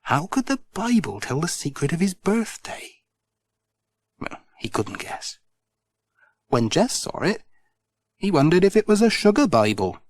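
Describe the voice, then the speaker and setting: Slow, sing-song storybook narrator, a man. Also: high voice, no echo. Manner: gentle, expressive, sing-song. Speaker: audiobook narrator.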